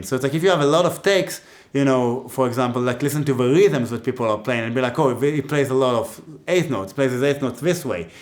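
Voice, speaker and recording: A man with an Israeli accent teaching music, talking casually and joking as he gives advice. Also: medium pitch. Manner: chatty and joking, with many asides. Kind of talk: casual teaching talk to camera.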